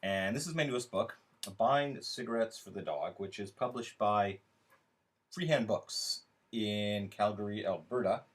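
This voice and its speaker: A man's voice: on the low side, measured pace. Male, mid-range voice. An author talking informally to his audience about his writing. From Canada. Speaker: writer promoting and reading own book. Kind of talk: informal spoken introduction and author reading.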